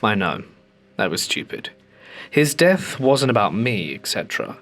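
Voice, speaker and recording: A man hosting a ghost story channel, reading submitted stories aloud in a calm, measured way with slowed dramatic pauses. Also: lowish voice.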